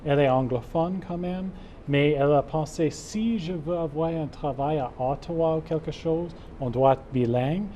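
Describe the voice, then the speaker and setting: A man from Calgary, a native English speaker with an English Canadian accent, answering interview questions carefully and haltingly in French, with frequent fillers. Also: on the high side, dark tone, slight background noise. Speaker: guest, club co-chair. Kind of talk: interview answers in a second language.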